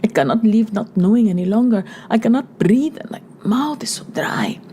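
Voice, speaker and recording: A frightened young woman, voiced by the reader, pleads in a breathless half-whisper. Her voice is soft and mellow. It comes across as an audiobook reading with a faint hiss behind it. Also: on the high side, animated, normal speed, pitched above the performer's own voice, close to an everyday voice. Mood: desperate praying.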